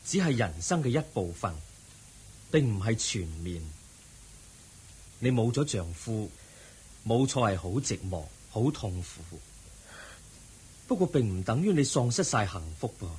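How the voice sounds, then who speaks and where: An actor playing the Buddha as a serene, compassionate teacher in a radio drama, speaking measured, earnest Cantonese with a Hong Kong accent. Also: male voice, lowish voice, a little mellow, slightly rough, exaggerated voice.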